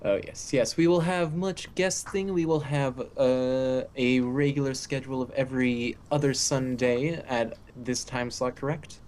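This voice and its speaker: A man's voice: medium pitch, fairly even intonation, unhurried, few pauses, a little mellow. A man co-hosting a live radio podcast, a voice actor who talks in a playful, theatrical way. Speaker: co-host, voice actor. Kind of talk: casual live podcast chat.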